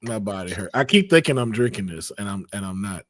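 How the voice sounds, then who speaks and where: Hoarse, joking podcast host, man. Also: on the low side, sing-song, fairly quick, no echo, clean studio sound. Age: adult over twenty-two. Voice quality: raspy. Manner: playful, joking, laughs often. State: hoarse from losing his voice. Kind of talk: casual chat.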